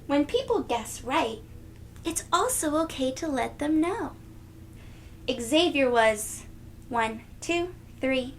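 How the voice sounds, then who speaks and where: High-voiced woman reading slowly to young children. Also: a little hiss or hum. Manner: expressive, gentle, addressing young children directly. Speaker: children's read-aloud host. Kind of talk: storybook read-aloud for young children.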